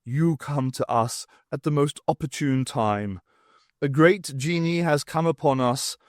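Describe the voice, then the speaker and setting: A man's voice: a little high, a little slow, dry recording, clean studio sound, natural voice. Man putting on a blustering, growly old king. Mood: overjoyed. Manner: growly, grandiose.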